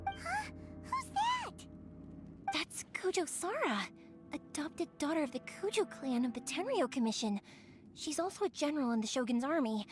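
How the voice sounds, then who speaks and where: A woman voicing a small, excitable, easily frightened fairy companion in a video game, exclaiming dramatically in a childlike squeak. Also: normal speed. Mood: anxious, curious. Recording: game music behind the voice.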